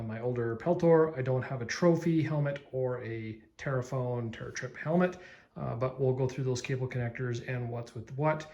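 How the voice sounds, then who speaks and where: A man's voice: mid-range voice, vocal fry, a little mellow. A man giving a casual, unscripted how-to explanation in a plain-spoken, blunt manner. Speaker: DIY tutorial presenter.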